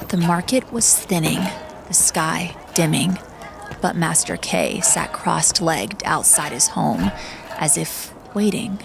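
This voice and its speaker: A woman reading scripted audiobook narration in a solemn, measured way. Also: low voice, animated, creaky, noisy background.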